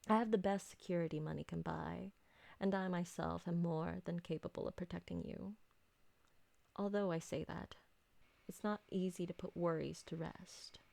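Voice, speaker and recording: An elegant vampire lady played by a woman speaks in a lowish, creaky voice. Her diction is formal and deliberate, that of a possessive, doting mistress in an ASMR roleplay drama. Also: a little mellow, no room sound. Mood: worried.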